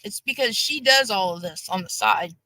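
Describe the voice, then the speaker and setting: A woman co-hosting a casual livestream chat, animated and teasing, mimicking people in exaggerated voices. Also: moderate pace, dry recording. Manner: animated, mocks others in exaggerated high voices. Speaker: livestream co-host.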